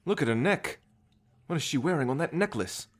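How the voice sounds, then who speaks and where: An actor playing a greedy, impatient, sour treasure-hunting sailor of the 1790s in an audio roleplay, brusque and exasperated. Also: male voice, fairly high pitch, animated, a little fast. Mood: startled, curious.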